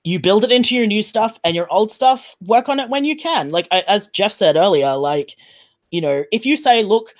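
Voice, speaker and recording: Emphatic panelist with a mid-pitched voice. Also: lively intonation, moderate pace. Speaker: podcast panelist. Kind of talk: casual panel discussion.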